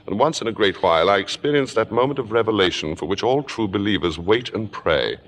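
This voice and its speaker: A man's voice: lowish voice, animated, a bit bright, a little hiss or hum. A man playing an urbane, needling theater sophisticate in an old radio drama, pompous and droll, with pointed hesitations. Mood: grandly rapturous. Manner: pompous, droll, deliberate stammering pauses. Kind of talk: 1950s radio drama acting. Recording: reverberant vintage radio recording.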